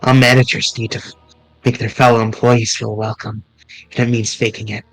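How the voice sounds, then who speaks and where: A man's voice: mid-range voice, little pitch movement, brisk, fairly bright tone, dry recording, faint music behind, pitched below the performer's own voice, poor audio. A man voicing a cold, two-faced manager villain with a sneering, contemptuous manner, reading a visual novel aloud.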